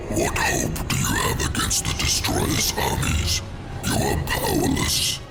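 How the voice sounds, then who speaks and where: A man's voice: measured pace, loud music behind the voice, close to an everyday voice. A man voicing an ancient, stern supernatural judge in a fantasy game, menacing as he pronounces judgment. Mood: commanding. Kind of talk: fantasy video game cinematic acting.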